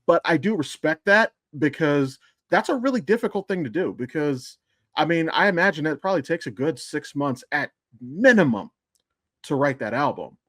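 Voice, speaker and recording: A man's voice: fairly high pitch, expressive, moderate pace, fairly bright tone, no echo, studio quality. A man hosting a casual podcast, giving his opinions bluntly and speaking straight to the listeners. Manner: blunt, sweary, addresses listeners directly. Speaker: podcast host.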